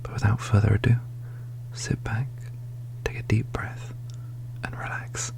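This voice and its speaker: A man hosting a channel, reading a scripted ASMR intro in a hushed, breathy manner. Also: fairly low pitch, level tone, measured pace. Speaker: channel host.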